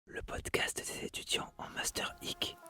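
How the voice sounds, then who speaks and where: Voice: a little slow, sharp tone. A whispering voice giving a scripted podcast introduction.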